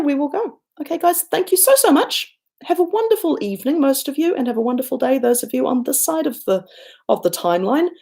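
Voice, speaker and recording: A middle-aged woman with a New Zealand accent, hosting a casual livestream from home. She chats with viewers, reads out their comments and answers them, and jumps up high when she thanks people. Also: darkish tone. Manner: chatty and self-deprecating, bursts up high when thanking viewers. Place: home, looking out over a garden and lake.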